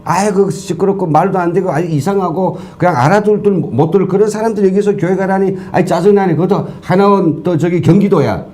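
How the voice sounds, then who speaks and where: Earnest man, mellow voice. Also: high-pitched, quick pace, hardly any pauses. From North Korea. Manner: earnest, lecturing explanations. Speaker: guest, former professor. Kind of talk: talk show discussion.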